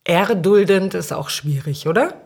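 Female interview guest with a low-pitched voice, talking casually and reflectively. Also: lively intonation, measured pace, creaky, a little mellow, husky. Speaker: podcast guest.

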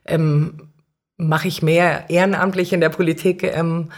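A woman's voice: sits low, level tone, a little slow, a little mellow. A middle-aged woman answering as an interview guest, conversational and reflective, often searching for the right words. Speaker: city councillor, podcast guest.

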